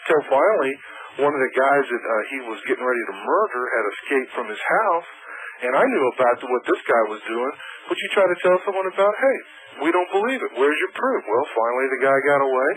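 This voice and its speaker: A man on a phone call to a radio show, with faint noise on the line. His mid-pitched voice rambles and argues back in loose, conversational speech. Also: sing-song, fast talker, a bit bright. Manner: rambling, argumentative. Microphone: telephone.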